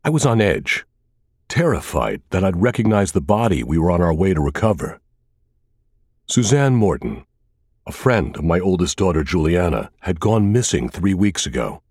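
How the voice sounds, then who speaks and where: Guy reading a crime audiobook, deep voice, lively intonation, really dry close studio sound, measured and dramatic. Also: slightly rough, no room sound. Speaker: audiobook narrator. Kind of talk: scripted thriller narration in first person.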